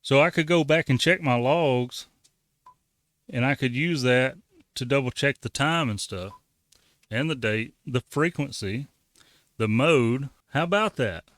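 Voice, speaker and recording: A man's voice: mid-range voice, measured pace, fairly bright tone, no echo. A man giving a casual, folksy how-to walkthrough as the host of a hobby tutorial. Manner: folksy, colloquial. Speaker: ham radio tutorial host.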